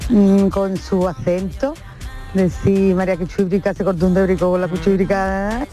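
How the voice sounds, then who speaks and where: A woman calling a radio show, talking fast and giggling while correcting herself. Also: medium pitch, little pitch movement, over music. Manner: rushed, giggly, self-correcting. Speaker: caller. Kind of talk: recorded listener message.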